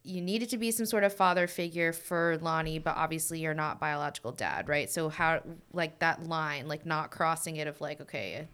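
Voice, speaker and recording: A woman hosts a podcast in a low voice with a smooth, clear tone. She is chatty and asks long, winding questions in an easy conversational way. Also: normal speed, hardly any pauses, fairly bright tone. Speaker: podcast host. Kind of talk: casual conversation.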